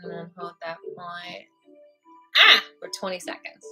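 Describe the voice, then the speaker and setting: A woman's voice: on the low side, lively intonation, measured pace, pushed and bright, rough recording. A woman teaching a live online qigong class, playful and chatty, counting aloud and sometimes dropping into a whisper. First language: Hebrew. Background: background music.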